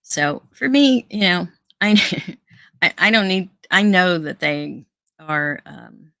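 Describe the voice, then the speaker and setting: An American woman hosts an online show in a low, close voice with a dry sound. She talks earnestly and casually to her viewers, with plenty of fillers and restarts along the way. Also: animated, slow, bright, forceful tone, dry recording. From United States. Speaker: host.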